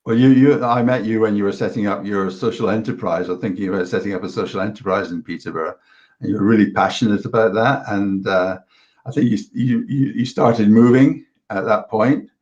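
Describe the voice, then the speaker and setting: A man hosting an interview chat, speaking in a measured, deliberate and somewhat halting way. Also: lowish voice, darkish tone. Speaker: host. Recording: muffled lo-fi audio.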